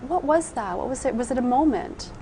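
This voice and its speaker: A woman's voice: sits high, animated, brisk, mellow, slight background noise. Woman host asking follow-up questions. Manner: conversational, probing follow-up questions. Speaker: talk show host. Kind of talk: interview questions.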